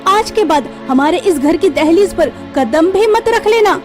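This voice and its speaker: Woman acting a shrill spiteful scolding aunt. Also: expressive, a little slow, soft background music. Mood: scornful, angry. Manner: accusing, scornful. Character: spiteful scolding aunt, cruel household bully. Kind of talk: melodramatic moral-story cartoon acting.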